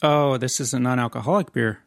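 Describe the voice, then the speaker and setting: Gravelly-voiced man co-hosting a beer chat. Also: medium pitch, fairly quick, no room sound, clean studio sound. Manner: jokey, reads labels aloud. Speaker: podcast co-host. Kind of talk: casual chat.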